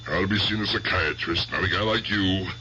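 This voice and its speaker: A man's voice: sits low, fast talker, bright, forceful tone, muffled recording, slight background noise. An American actor playing a tormented, aging tough-guy gangster in a radio drama, with agitated, repetitive, slangy speech. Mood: uneasy, insistent. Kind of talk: 1970s radio drama acting. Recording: old radio drama with music behind.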